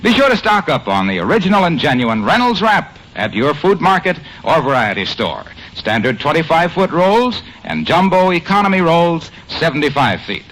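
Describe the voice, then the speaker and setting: A man's voice: on the high side, very animated, normal speed, few pauses, a bit bright, rough recording. A man with an American accent reading a script as an old-time radio announcer and narrator. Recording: old radio broadcast recording. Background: music.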